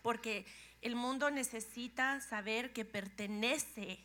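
A middle-aged woman from Guatemala with a Guatemalan accent, giving a lively, joking talk to a church congregation. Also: fairly high pitch, slow. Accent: Guatemalan Spanish. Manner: lively and joking, laughs often. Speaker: guest speaker, pastor's wife.

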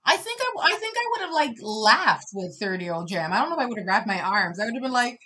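A woman in her thirties speaking as a podcast guest in casual conversation, expressive and shifting between soft reflection and loud laughing energy. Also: a little high, quick pace, background noise. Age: 30s.

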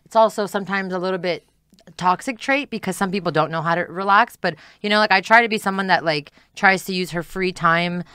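A woman's voice: fairly low pitch, a little fast, bright, forceful tone, clear, resonant tone, no room sound, clean studio sound. A young woman from Montreal, a guest on a podcast, chatting casually with lots of "like" and "you know". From Montreal, Canada. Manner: chatty, frequent "like" and "you know", easy laughs. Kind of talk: casual interview chat.

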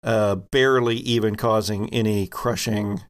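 Man, low voice, tabletop game master, improvised descriptive narration, conversational, halting delivery. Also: fairly even intonation, clean tone.

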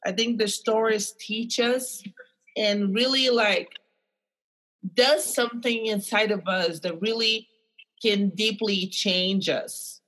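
A woman hosts a conversational podcast interview. She talks at an unhurried, slow pace, with a mid-range pitch for a woman. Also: fairly even intonation, fairly bright tone, poor audio.

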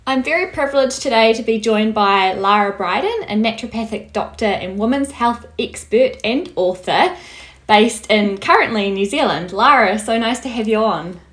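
A woman's voice: fairly high pitch, lively intonation, moderate pace, a bit bright. A woman hosting a podcast interview as a sports dietitian, friendly and upbeat.

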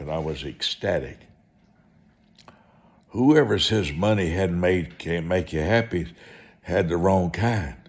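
A man preaching in a personal testimony style, folksy and deliberate, repeating phrases for emphasis. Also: sits low, darkish tone. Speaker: preacher, evangelist.

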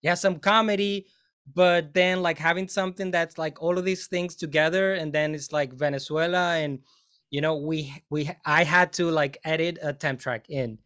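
Smooth-voiced man, rambling panel talk. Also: on the high side, steady tone, moderate pace, fairly bright tone. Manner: rambling, many fillers and restarts. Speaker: film composer on a panel.

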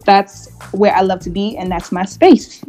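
A woman's voice: mid-range voice, fairly even intonation, measured pace, warm tone, faint music behind. A woman in her twenties from Montreal with a Canadian accent, chatting candidly and casually as an interview guest. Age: 20s. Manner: chatty, candid, laughs easily. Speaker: interview guest, gospel singer.

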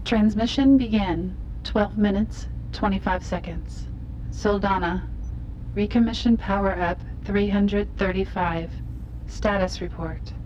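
A woman's voice: mid-range voice, a little slow, darkish tone, natural voice. A woman voicing an impassive satellite computer in a radio play, with formal, clipped announcements. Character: impassive female computer voice relaying satellite transmissions. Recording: dull lo-fi sound, faint noise.

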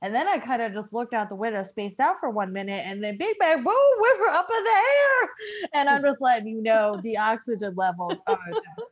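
A woman's voice: a little high, big pitch swings, brisk, lo-fi sound. A woman playing a chatty, spacey oxygen monitor on a spaceship crew in an improv comedy sketch, casual and rambling.

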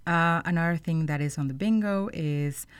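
Low-pitched woman hosting a podcast, dry sound. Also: moderate pace, smooth, no room sound. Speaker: podcast host. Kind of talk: conversational explainer to listeners.

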